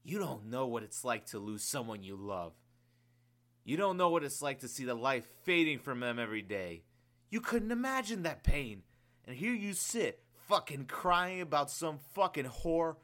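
A man voicing a grieving male army commander who curses and yells harshly. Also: mid-range voice, sing-song, moderate pace, few pauses, voice pushed up, close to an everyday voice. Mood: raging. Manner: harsh, yelling, cursing. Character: grieving male soldier commander, bitter and explosive.

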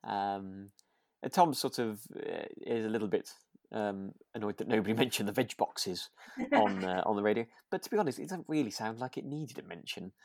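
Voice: medium pitch, animated, normal speed, a little mellow, no room sound. Chuckly podcast co-host in a dry recording. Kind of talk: casual chat reviewing a radio drama.

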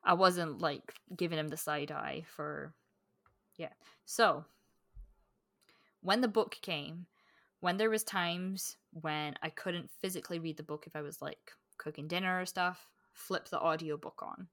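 A woman with a bright voice on the lower side talks casually about books as a podcast co-host. She is candid and sweary, often interrupting herself mid-thought. The recording is close and dry. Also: steady tone, unhurried, no echo. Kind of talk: casual book chat.

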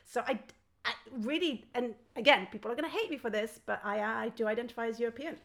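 A woman from Belgium chatting casually as a podcast guest, with an animated, joking manner. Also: on the high side, quick pace, gravelly. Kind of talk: casual conversational chat.

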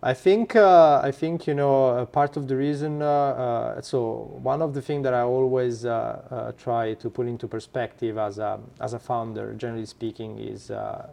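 A man with an Italian accent, a startup founder being interviewed, who talks haltingly with many fillers and restarts. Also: mid-range voice, steady tone, creaky, dark tone. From Italy. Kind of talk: podcast interview.

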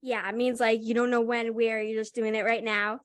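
Bright high-voiced enthusiastic young woman. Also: flat delivery, moderate pace. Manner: enthusiastic, upbeat. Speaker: podcast host. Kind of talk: casual interview hosting.

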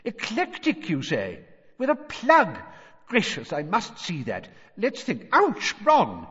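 A man's voice: on the high side, very animated, moderate pace, a bit bright, breathy edge, dry recording, dull audio, pitched above the performer's own voice, full character voice. A man voicing a flustered, apologetic middle-aged wizard father in an audiobook, eager and rambling. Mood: excited, curious.

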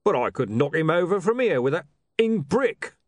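A man's voice: a little high, sing-song, normal speed, no room sound, pitched above the performer's own voice. Guy doing a dim violent thug for an audiobook, grumbly, blunt, sulky, dry close sound. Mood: blunt aggression. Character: crude, sullen, slow-witted violent male thug. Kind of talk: audiobook character voice.

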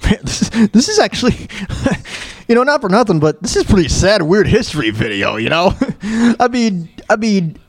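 A man's voice: sits high, sing-song, normal speed, slightly rough, no room sound. A man chatting casually as a podcast host, rambling and repeating his phrases as he reacts. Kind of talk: casual chat, reacting to a video.

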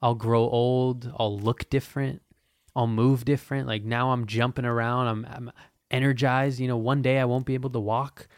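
A man's voice: mid-range voice, little pitch movement, moderate pace, no echo, studio quality. A man in his twenties hosting a podcast, talking in a loose, profane, meandering way with lots of restarts. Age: 20s. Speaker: podcast host. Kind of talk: casual rambling monologue.

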